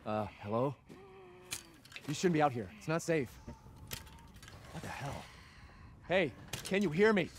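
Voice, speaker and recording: A man's voice: fairly high pitch, sing-song, a little slow, pauses often, slight background noise. An actor playing an anxious, bewildered father searching for his child in a video game, with an urgent, questioning delivery. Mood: wary, confused. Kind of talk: dramatic video game acting.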